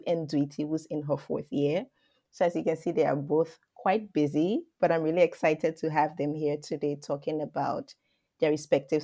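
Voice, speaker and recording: Woman, podcast host, low-pitched voice, upbeat, welcoming. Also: warm tone. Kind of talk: friendly podcast hosting.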